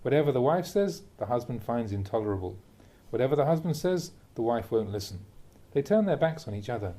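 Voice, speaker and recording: Mellow-voiced man reading a Dharma talk. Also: mid-range voice, lively intonation. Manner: measured, emphatic, with rhetorical questions. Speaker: Buddhist monk reading a teacher's talks aloud. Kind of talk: reading a translated Dharma talk aloud, with brief asides.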